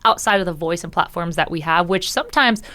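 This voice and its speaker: A woman's voice: fairly low pitch, animated, fast, bright, forceful tone. A young woman co-hosting a podcast, chatting in a slangy, informal way with some Spanish words mixed in. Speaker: podcast co-host. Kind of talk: casual chat.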